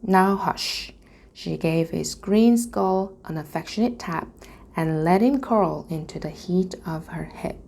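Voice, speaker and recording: A woman reading a storybook aloud as narration, in a careful, deliberate manner. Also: lowish voice, creaky, warm tone. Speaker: reader.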